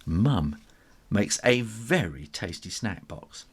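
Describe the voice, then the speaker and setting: A man reading a children's story aloud in an expressive, animated style with conversational asides. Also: lowish voice, takes it slowly, slightly rough. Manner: expressive, with chuckles and spoken asides.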